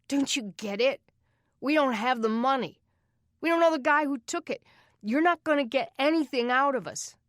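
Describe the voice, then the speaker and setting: A woman voicing a defiant, angry orphan boy who shouts and snarls bitterly, recorded dry. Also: on the high side, animated, normal speed, few pauses, no echo, voice pushed up, lightly acted. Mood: furious.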